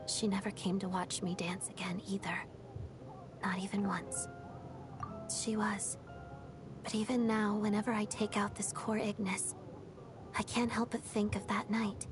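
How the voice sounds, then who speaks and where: Soft, melancholy young ballerina, acted by a woman, music behind. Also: medium pitch, level tone, close to an everyday voice. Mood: quiet, regretful. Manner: restrained, reflective, measured. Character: melancholy young female ballet dancer and former Fatui trainee. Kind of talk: video game story dialogue.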